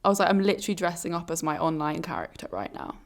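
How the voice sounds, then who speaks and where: A woman's voice: on the low side, very animated, very fast. A woman co-hosting a podcast with a slightly phlegmy, under-the-weather voice, telling personal stories in a casual, candid, self-deprecating way. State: slightly ill, phlegmy throat. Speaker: podcast co-host. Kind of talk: casual confessional storytelling and chat.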